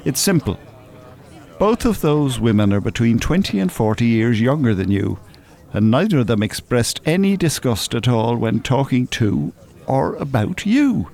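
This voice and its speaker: An actor playing a pedantic, drily mocking older gentleman in a radio drama, speaking formally and wordily. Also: medium pitch, very animated, moderate pace, creaky. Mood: wry. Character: wry, pedantic, well-spoken older gentleman, teasing.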